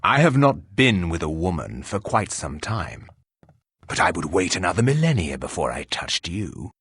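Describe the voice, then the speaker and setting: Game role: stern formal hero, forceful tone, dramatic pitch swings, dry close recording. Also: male voice, sing-song, husky, dry recording, exaggerated voice. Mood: scornful. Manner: stiff, formal, deliberate diction. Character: blunt, haughty fantasy warrior hero, stiff and formal. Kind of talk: video game character acting.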